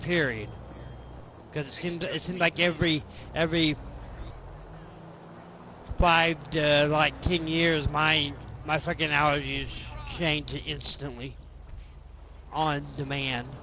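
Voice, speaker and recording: A man chatting while he plays a game, tripping over and repeating his words. He has the sniffles, and his voice is bright, forceful and a bit high. A faint hiss sits underneath. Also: steady tone, takes it slowly, long pauses. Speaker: gamer recording gameplay. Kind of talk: casual chat.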